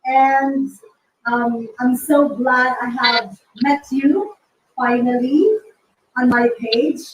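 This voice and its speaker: Bright-voiced woman host in an echoey room. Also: high-pitched, takes it slowly, dull audio. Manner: upbeat and welcoming, laughs often. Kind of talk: online interview. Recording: echoey room, distant mic.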